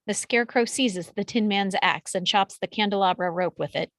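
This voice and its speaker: A woman's voice: medium pitch, normal speed, no room sound. A woman reading out screenplay action descriptions as scripted narration. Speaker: stage directions reader.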